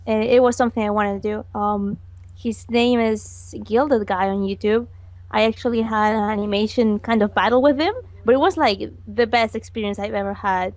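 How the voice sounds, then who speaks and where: High-ish voice girl, shy and giggly, lots of ums, dry close sound. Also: steady tone, moderate pace, darkish tone, no echo. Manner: shy, hesitant, giggly, many fillers. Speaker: animator, interview guest. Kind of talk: casual online interview.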